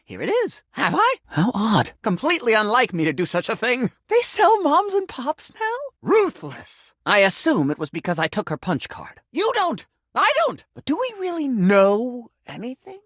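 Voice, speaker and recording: An enchanted male sink in a video game who believes he is a grand claims adjuster. He is pompous and melodramatic, with florid formal diction. His pitch leaps about freely, and the sound is close and dry. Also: wildly swooping pitch, moderate pace, few pauses, fairly bright tone, no echo, exaggerated voice. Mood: puzzled, airy. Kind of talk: video game character acting.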